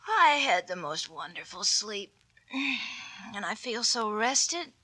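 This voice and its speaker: An actress in a 1940s radio drama playing a young wife who talks in a soft, fussing, concerned way. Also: female voice, mid-range voice, big pitch swings, slow pace, breathy edge, no room sound, full character voice, low-quality recording. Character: young wife, sleepy then worried.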